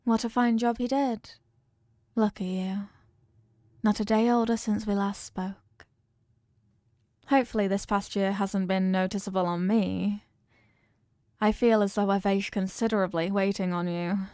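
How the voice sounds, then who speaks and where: A woman acts out an evil queen for an intimate ASMR roleplay, regal and possessive. Her mid-pitched voice is formal and measured, doting on the surface and coolly manipulative underneath. The recording is dry, with very little room sound. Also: fairly even intonation, no echo, close to an everyday voice. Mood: tender, solemn. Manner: formal, measured, softly commanding. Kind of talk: scripted ASMR roleplay monologue.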